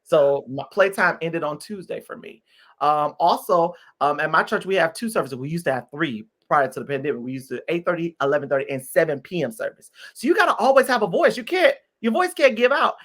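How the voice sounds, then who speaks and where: Guest: thirties man, animated singer, swings loud to soft, chatty. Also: a little high, fairly quick. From Detroit. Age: 30s. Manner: lively, expressive, swings from loud exclamations to soft asides. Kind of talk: casual interview chat.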